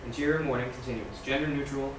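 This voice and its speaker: A man's voice: mid-range voice, steady tone, fast talker. A man reading scene directions aloud as scripted narration at a screenplay table read. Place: large echoey room, far from mic. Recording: echoey, noisy room from far off.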